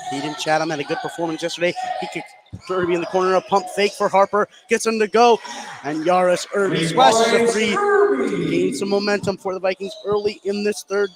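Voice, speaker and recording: An American man giving live high school basketball play-by-play in an energetic, clipped running style. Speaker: play-by-play commentator. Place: indoor basketball gym, courtside broadcast. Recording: clear courtside gym noise.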